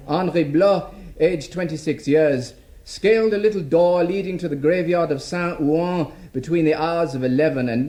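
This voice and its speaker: A man acting a fussy male court official in a radio play. His voice is pitched high. He reads formally and primly, hesitating over his words as he goes. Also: mellow, natural voice. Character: fussy, halting court official reading out charges. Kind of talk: radio drama, formal reading of evidence.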